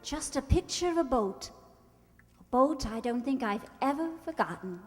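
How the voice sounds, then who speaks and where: A high-voiced, proud young bride from high society played by a woman in a radio play, witty and emotional. Her lines swoop expressively, ringing in a long hall reverb. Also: animated, moderate pace, a little mellow. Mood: wistful, hesitant. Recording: stage hall reverb, faint music.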